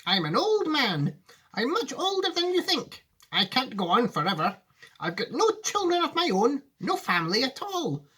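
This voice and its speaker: Guy doing excitable bossy old factory owner from a storybook, high emphatic voice, swoops about. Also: normal speed, slightly rough, exaggerated voice. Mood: earnest. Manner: emphatic, exclamatory. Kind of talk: storybook read aloud.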